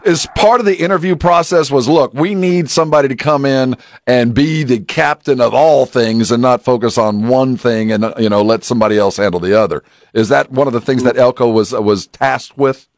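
A man's voice: medium pitch, moderate pace, no echo, clean studio sound. A man hosting a sports radio show, putting questions to a guest in a conversational way. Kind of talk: conversational interviewing.

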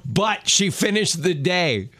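A man's voice: high voice, big pitch swings, takes it slowly, fairly bright tone, dry recording. A man co-hosting a radio show, chatting in an easygoing way and chuckling often from the studio. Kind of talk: casual radio chat. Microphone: studio microphone.

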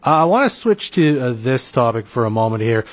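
A man's voice: medium pitch, very animated, a little fast. A man hosting a local radio interview show, asking questions in a hesitant way with many filler sounds. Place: radio studio.

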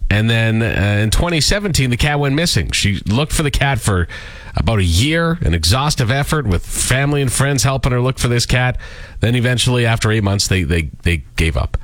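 A man's voice: medium pitch, lively intonation, brisk, no echo. A man from the Canadian prairies co-hosting a radio show, telling stories in a rambling way with lots of fillers and chuckles. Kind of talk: casual radio banter.

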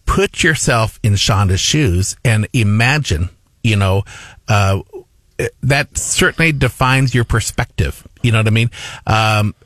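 A man's voice: fairly low pitch, a bit bright, no room sound, studio quality. Middle-aged man hosting radio talk. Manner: earnest, rambling with many restarts and fillers. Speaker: radio talk show host. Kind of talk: radio talk show interview. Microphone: studio microphone.

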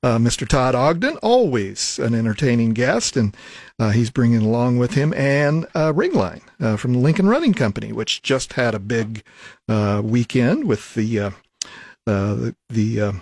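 A man's voice: medium pitch, very animated, moderate pace, a little mellow, no echo. A man hosting a radio talk show in a studio, easygoing, with many fillers and restarts. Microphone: studio microphone.

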